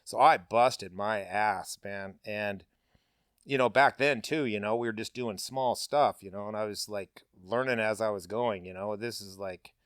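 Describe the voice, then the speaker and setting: A man's voice: on the low side, measured pace, fairly bright tone, smooth, no room sound, clean studio sound. A middle-aged man, a paving business owner, talking candidly and bluntly with lots of casual fillers. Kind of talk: candid interview talk.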